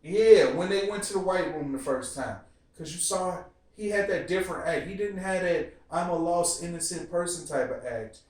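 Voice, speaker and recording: A man's voice: sits high, lively intonation, moderate pace, darkish tone. A man hosting a casual, profane group discussion in slangy talk, animatedly telling his points and putting questions to the others. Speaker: host of a TV series discussion show. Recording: echoey room, distant from the mic.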